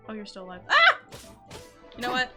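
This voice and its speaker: A woman's voice: a little high, wildly swooping pitch, a little fast. A woman livestreamer giving casual gameplay commentary, offhand and talking to herself. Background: game music.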